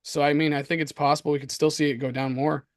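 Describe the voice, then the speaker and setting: A man with a hoarse voice co-hosts a casual market commentary show, talking in an excitable, slangy, repetitive way. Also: on the high side, quick pace, no room sound, clean studio sound. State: hoarse from coughing. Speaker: crypto show co-host.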